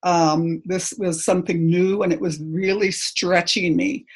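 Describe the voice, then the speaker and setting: A middle-aged woman from Canada with no accent, giving a personal testimony in church, hesitant and full of pauses and ums. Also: fairly low pitch, steady tone, breathy edge. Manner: hesitant, halting, many ums.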